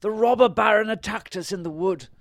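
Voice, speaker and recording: A man voicing a commanding storybook wizard in a loud, incantatory voice. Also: sits high, no echo, voice pushed up. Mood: urgent. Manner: loud, slow, raised, incantatory voice.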